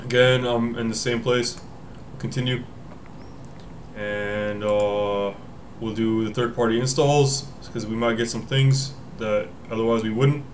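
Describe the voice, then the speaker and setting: A man's voice: medium pitch, steady tone, measured pace, slight background noise. Rambling young man walking through a setup. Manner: rambling, self-correcting, thinking aloud. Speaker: tech tutorial host. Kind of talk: casual screen-recorded walkthrough. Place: home room, indoors.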